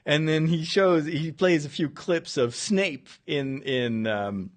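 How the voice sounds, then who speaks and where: A man's voice: fairly high pitch, slow pace. A man with a Dutch accent, a priest hosting a show, talking in a casual, digressive monologue and often searching for words mid-sentence. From Netherlands. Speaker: priest and podcast host.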